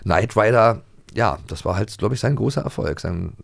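A middle-aged man talks casually as a podcast co-host. His voice is low and gravelly, and he often halts to find the next word. The sound is close and dry. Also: expressive, moderate pace, no echo. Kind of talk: casual chat.

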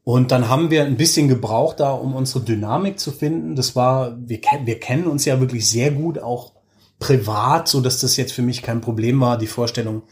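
A man's voice: medium pitch, moderate pace, darkish tone. Enthusiastic man chatting in an interview. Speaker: interview guest, podcaster and audio drama creator. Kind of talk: casual interview chat.

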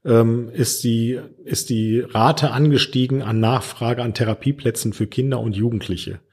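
A man's voice: mid-range voice, steady tone, normal speed, darkish tone, slightly rough. A man explaining things earnestly in a casual interview, as a teacher and social worker.

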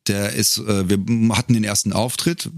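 A middle-aged man telling stories as an interview guest in a casual, wryly humorous way, often pausing to find his words. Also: fairly low pitch, fairly even intonation, dry recording, clean studio sound. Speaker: podcast guest, a cappella singer and arranger.

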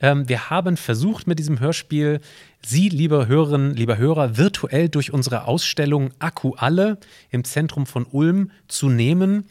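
A man's voice: on the high side, normal speed, a little mellow. A man hosting a semi-scripted audio play, explaining things to a companion and to listeners. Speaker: podcast host and co-creator of an exhibition audio play.

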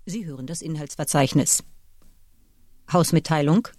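Male audio magazine narrator: lighter, higher pitch, neutral scripted read, dry recording. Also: moderate pace, a little mellow, dry recording. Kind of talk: scripted reading of a table of contents.

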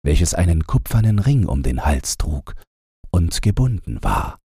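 A man's voice: low voice, big pitch swings, measured pace, mellow, slightly rough, no echo, clean studio sound. A man reading a scripted fairy tale as an audiobook narrator, in a bedtime storytelling style.

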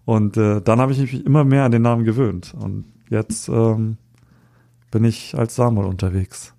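A man's voice: fairly low pitch, steady tone, normal speed, creaky, dark tone. A man in his thirties from Hamburg, a guest on a podcast, talking casually and hesitantly with many fillers. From Hamburg, Germany. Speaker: podcast guest, street photographer. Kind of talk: casual interview chat.

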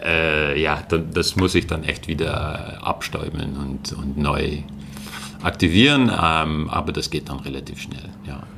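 Deep gravelly man. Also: measured pace, background noise. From Netherlands. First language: Dutch. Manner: hesitant, many fillers and restarts. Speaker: guest, linguistics lecturer. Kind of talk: casual interview answers.

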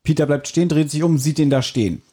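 A man with a mellow, husky voice hosting a casual podcast with playful, teasing banter. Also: a little high, fast talker. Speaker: podcast host. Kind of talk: casual, joking podcast chat.

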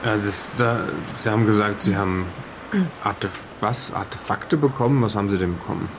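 Man as pragmatic 1920s questioner, audio drama, gravelly fry, probing, unruffled, faint noise. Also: on the low side, a little fast, close to an everyday voice. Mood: curious, dry. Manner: questioning, tags sentences with "ne?". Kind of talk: in-character audio drama retelling.

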